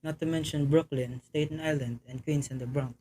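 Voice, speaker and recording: Woman as low, flat-toned police sergeant. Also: low-quality recording. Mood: dismissive, placating. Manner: unhurried, placating, matter-of-fact. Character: brusque, dismissive New York police desk sergeant. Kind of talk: amateur radio play acting.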